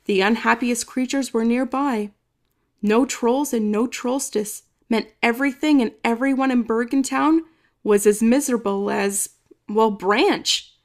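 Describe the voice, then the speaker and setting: A woman reading a children's story aloud expressively, with dramatic emphasis and some drawn-out words. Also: fairly high pitch. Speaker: storybook reader. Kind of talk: expressive children's read-aloud, narrating in first person.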